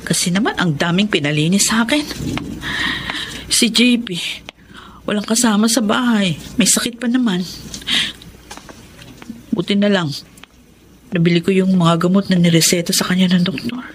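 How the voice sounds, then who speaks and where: A woman's voice: mid-range voice, normal speed, pauses often, slight background noise, lightly acted. An actress playing a weary, worried working mother in a Filipino radio drama, anxious, with a Filipino accent.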